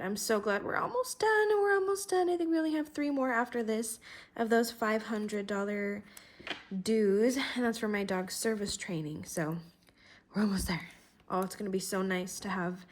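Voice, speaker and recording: A woman with a slightly congested voice from a cold talks casually through her budget as she writes. She goes slowly and thinks aloud over each number, in a friendly, chatty way. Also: mid-range voice. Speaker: vlogger doing her budget. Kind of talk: casual talk-through while writing.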